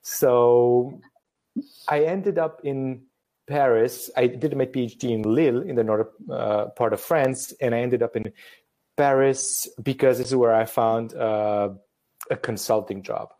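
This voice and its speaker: A man's voice: mid-range voice, fairly even intonation, a little slow, dark tone. A man in his thirties from Romania, a photographer chatting casually as a guest, hesitant and self-deprecating with jokes. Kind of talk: casual live-stream conversation.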